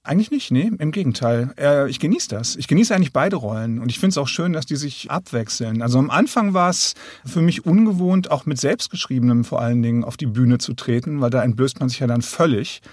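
A man's voice: medium pitch, expressive, a little fast, a little mellow, no room sound, clean studio sound. A middle-aged man chatting as an interview guest, with a wry, self-deprecating way of joking. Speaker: interview guest, audiobook director and author. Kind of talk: casual conversational interview.

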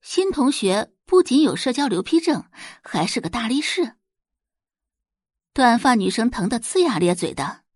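A woman's voice: on the high side, sing-song, unhurried, slightly rough, no echo, studio quality. A woman reading a scripted story expressively as an audiobook narrator.

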